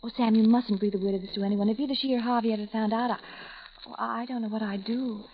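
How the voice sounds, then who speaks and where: A scheming young wife played by a woman talks in a high, coy voice full of breathy stammers that can snap into defiance. It's 1940s radio acting, dull and lo-fi with faint hiss. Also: fairly even intonation, fast talker, close to an everyday voice.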